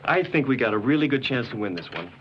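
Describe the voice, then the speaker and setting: A man's voice: medium pitch, very animated, quick pace, close to an everyday voice. An actor playing an upbeat salesman arranging a customer demo, in a 1980s training film. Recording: muffled film soundtrack with loud hiss.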